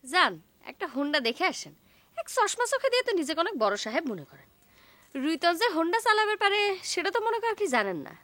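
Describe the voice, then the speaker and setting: An actress playing a pushy, proud village sweetheart in a comic TV drama, sharp-tongued, with a rural Bangladeshi dialect. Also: female voice, very high voice, big pitch swings, fast, slight background noise, heavily acted. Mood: scornful.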